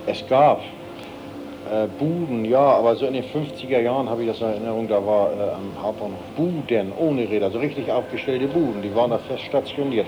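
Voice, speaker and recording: A middle-aged man recalling things casually in an interview. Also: fairly low pitch. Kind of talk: casual spoken recollection. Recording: noisy old cassette, traffic sounds.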